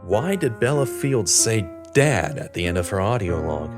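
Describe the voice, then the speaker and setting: A man's voice: fairly low pitch, sing-song, normal speed, over music. An actor in an audio drama playing a stern male investigator who mentors a young journalist. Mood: confronting.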